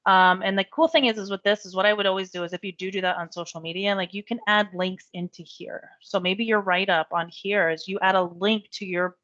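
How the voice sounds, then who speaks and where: A woman giving a chatty step-by-step software tutorial while losing her voice. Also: mid-range voice, a little fast, hardly any pauses, no room sound, muffled recording. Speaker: real estate software trainer. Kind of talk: screen-share software tutorial.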